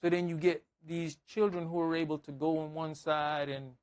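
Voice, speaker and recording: A man with a fairly high voice delivers a conversational sermon. He speaks as a preacher, driving points home with emphatic repetition and everyday examples. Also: flat delivery, moderate pace, darkish tone, smooth. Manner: emphatic, repeating phrases, acting out dialogue. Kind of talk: conversational sermon with scripture reading and anecdotes.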